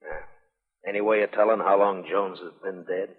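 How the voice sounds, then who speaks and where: An American man playing a stern, world-weary frontier marshal in a 1950s radio western, speaking tersely and gravely. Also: low-pitched, steady tone, normal speed. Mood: terse, businesslike. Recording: muffled, echoey vintage radio broadcast.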